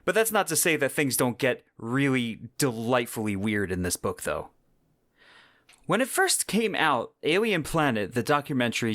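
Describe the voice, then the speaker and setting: A man's voice: medium pitch, fairly bright tone, no echo, clean studio sound. A man hosting a podcast, explaining in a conversational, semi-scripted narration with emphatic descriptive wording. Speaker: podcast host.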